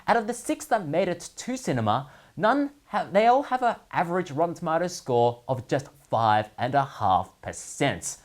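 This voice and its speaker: A male host delivers scripted comedic commentary in a very animated voice. His pitch rises and falls widely through each sentence. He is sardonic throughout, setting up and landing punchlines with mock seriousness. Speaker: host of a gaming news segment.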